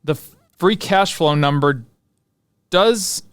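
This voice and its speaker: Clear-voiced man, casual stock commentary. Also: fairly high pitch, slow, fairly bright tone, no room sound. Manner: informal, thinking aloud, muttering asides to himself. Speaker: host of a stock analysis show.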